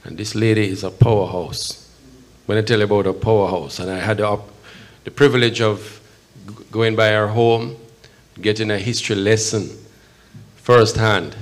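A middle-aged man with a Jamaican accent giving a measured, emphatic public speech at a podium microphone in an event hall. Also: lowish voice, steady tone. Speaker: consul general, guest speaker at podium. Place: event hall, at a podium before a seated audience.

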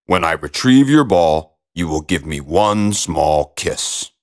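A man voicing a polite but insistent warty frog in a storybook, croaking and speaking in a formal, measured way. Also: low voice, expressive, voice pushed down, full character voice. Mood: bargaining. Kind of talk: storybook character voice.

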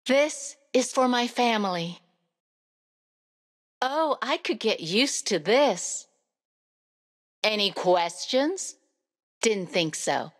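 An earnest, determined young warrior woman for an animated racing game. Her voice sits fairly high and swings in a lively way through short, spirited lines. A long reverb tail hangs on each phrase. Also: slow pace, pauses often. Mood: proud, pleased. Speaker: video game character voice.

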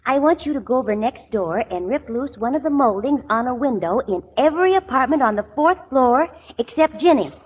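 High-voiced, determined young woman. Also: lively intonation, fairly quick, few pauses, a little hiss or hum. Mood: coaxing. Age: young adult. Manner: firm, coaxing, purposeful. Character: determined, insistent young woman proving a man innocent. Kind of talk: 1970s radio drama acting. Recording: dull old radio recording.

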